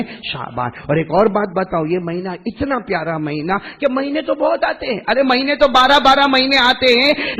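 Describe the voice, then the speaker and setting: High, forceful male preacher, echoing hall. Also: breathy edge. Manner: impassioned, rhetorical, repeating phrases and prompting listeners. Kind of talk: Islamic sermon with call-and-response to congregation. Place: mosque, preaching to a gathering. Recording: reverberant hall, long echo.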